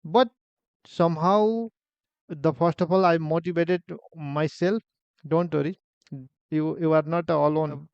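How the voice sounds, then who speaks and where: A man in his forties from Nepal, with a Nepali accent, telling his own life story in a halting, plain way with many fillers. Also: on the high side, steady tone, gravelly, darkish tone, smooth, dry recording, lo-fi sound. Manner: halting, many fillers and restarts, simple phrasing. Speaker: vlogger. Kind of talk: personal story, casual monologue.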